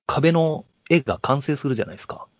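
A man's voice: fairly high pitch, lively intonation, fast, no echo, studio quality. A man co-hosting a casual film chat, musing over scenes and putting questions to his partner. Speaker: podcast co-host.

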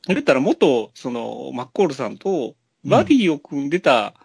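A man's voice: medium pitch, very animated, moderate pace, gravelly, a little mellow, husky, no echo, studio quality. A man co-hosting a podcast, chatting casually about films. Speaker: podcast co-host. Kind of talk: casual film discussion.

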